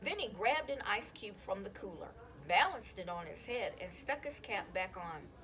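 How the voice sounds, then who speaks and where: A woman reading a children's chapter book aloud in an expressive, storytelling style. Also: mid-range voice, normal speed. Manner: animated, acts out dialogue. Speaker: school counselor reading aloud to students.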